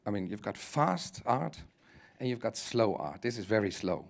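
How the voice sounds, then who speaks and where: A man's voice: fairly low pitch, expressive, normal speed, creaky. Reflective man thinking aloud on a panel. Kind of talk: conversational panel discussion.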